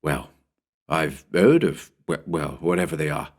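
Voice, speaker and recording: A curious, patient visitor in a story read aloud, muttering and hesitating over his words, voiced by the male narrator. His voice is very deep and stays close to the reader's own. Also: measured pace. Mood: hesitant.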